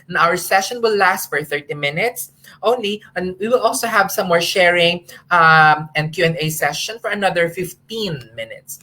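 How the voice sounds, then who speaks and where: A woman hosting a live online session, her voice a little low but bright and forward. She speaks earnestly and stops to correct herself. She sounds like she is on a video call. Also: normal speed. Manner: earnest, with frequent fillers and restarts. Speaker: moderator.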